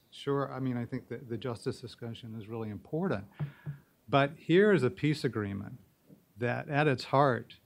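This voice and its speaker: A reflective man's medium-pitched voice, the careful panelist one hears weighing points at a policy discussion. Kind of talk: informal spoken panel commentary.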